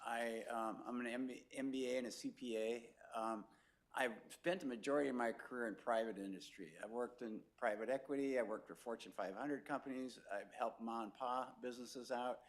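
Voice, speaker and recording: A middle-aged man speaks to residents in a council chamber. His talk is loose and conversational, with "um"s and the odd short laugh. His pitch is mid-range for a man. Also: fairly even intonation, a little fast. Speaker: city manager candidate. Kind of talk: public meeting introduction.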